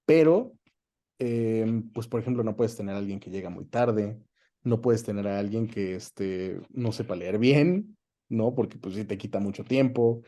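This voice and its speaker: A man's voice: fairly low pitch, a little slow, mellow. A man with a Mexican accent in a conversational interview as the guest, explaining things colloquially with examples and frequent tag questions. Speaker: interview guest, dubbing director.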